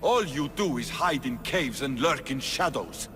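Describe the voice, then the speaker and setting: An actor playing a brash, hot-blooded Spartan general in a video game, speaking in a blunt, martial way. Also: male voice, medium pitch, animated, a little slow, background noise, natural voice. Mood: impatient, defiant. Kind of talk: dramatic video game cutscene acting.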